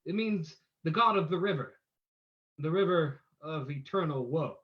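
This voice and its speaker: A man playing a suave, calm, inquisitive gentleman sleuth in an old-time radio mystery reading. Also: a little high, moderate pace, noisy background, close to an everyday voice. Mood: calm, probing. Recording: dull, lo-fi sound.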